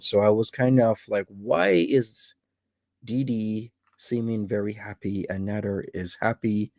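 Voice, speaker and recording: Gravelly-voiced man in his thirties. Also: lowish voice, a little mellow, dry recording. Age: 30s. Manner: greets viewers by name in a list. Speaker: livestream host. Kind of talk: casual livestream chat.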